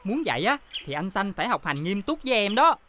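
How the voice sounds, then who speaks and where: A woman's voice: mid-range voice, big pitch swings, fast talker, slight background noise. A woman with a Southern Vietnamese accent voicing an earnest, bossy young pencil schoolkid who leads a club in a children's cartoon. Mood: puzzled, earnest. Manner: earnest, rule-minded. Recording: loud music under voice.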